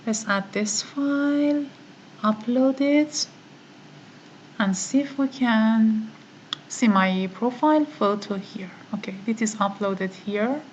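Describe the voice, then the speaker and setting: A woman whose first language is Farsi, giving a step-by-step online course tutorial, talking methodically and pausing on "uh" often. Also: on the high side, highs cut off, a little hiss or hum. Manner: slow, methodical, with frequent "uh" pauses. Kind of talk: screen-recorded software tutorial.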